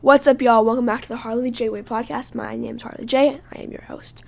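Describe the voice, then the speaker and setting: A woman hosting her own podcast, speaking casually while hoarse from losing her voice, halting and often dropping to a breathy whisper. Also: a little high, fairly even intonation, soft background music. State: hoarse, lost voice. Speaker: podcast host. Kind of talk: casual solo podcast talk.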